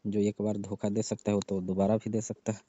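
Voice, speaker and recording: A man's voice: on the low side, monotone, brisk, dark tone, no room sound, close to an everyday voice. A man playing a sulky, distrustful young repairman who grumbles and complains in a staged comic phone call. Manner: complaining, grudging. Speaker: heater repairman. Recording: dull, dry lo-fi phone recording.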